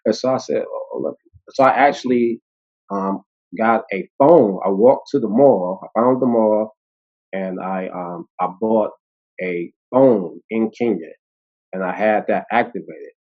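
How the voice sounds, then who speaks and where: A man in his fifties with an American accent, telling stories as an interview guest, animated and laughing, with many fillers. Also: fairly low pitch, a little slow. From United States. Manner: animated, laughing, acting out quoted voices, many fillers and restarts. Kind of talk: casual storytelling conversation. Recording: dull lo-fi audio.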